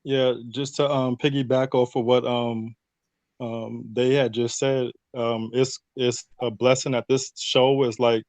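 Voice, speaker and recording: A man's voice: mid-range voice, little pitch movement, measured pace, a little mellow, dry recording. Casual, reflective man, dry close sound. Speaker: interview guest, cast member. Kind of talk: casual conversation.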